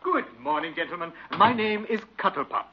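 An actor playing a prim, affected and excitable male art teacher with a husky edge in a 1940s radio comedy-drama. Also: high-pitched, fairly quick, background noise. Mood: pompous delight. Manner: affected, pompous. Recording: muffled old radio recording.

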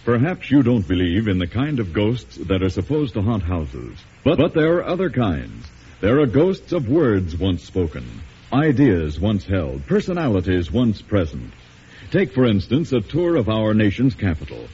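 An American man narrating as a radio announcer in a dramatic, measured, theatrical scripted style. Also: on the low side, big pitch swings, mellow, slightly rough. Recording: dull, noisy old radio recording.

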